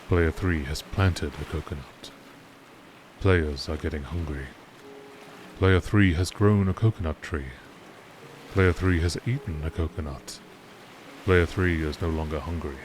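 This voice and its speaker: Deep-voiced man narrating, documentary style. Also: a little hiss or hum. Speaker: narrator reading game lore.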